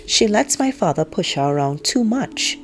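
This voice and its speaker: A woman's voice: mid-range voice, sing-song, normal speed, soft background music, pitched above the performer's own voice. Woman reading as outspoken, indignant teenage girl. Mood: wry, exasperated. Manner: frank, opinionated, conversational, sarcastic. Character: outspoken, indignant teenage girl narrating her family life. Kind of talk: first-person audiobook read-aloud.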